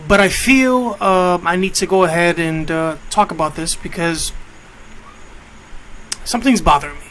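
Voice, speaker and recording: A man in his late thirties hosting an internet radio show, talking off the cuff in a casual, halting monologue. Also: sits high, a bit bright, poor audio. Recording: clear background noise.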